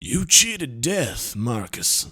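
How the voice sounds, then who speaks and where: Man doing a whooping high cowboy doctor. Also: big pitch swings, extremely slow, slightly rough, exaggerated voice. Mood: smug. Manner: loud, whooping, exaggerated. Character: cheerful cowboy doctor, smug, yeehaw-shouting.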